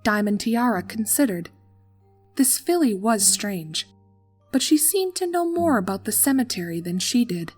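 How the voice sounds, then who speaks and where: A woman reading a horror story aloud in a calm, steady narrating voice. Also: fairly high pitch, moderate pace, breathy edge, faint music behind.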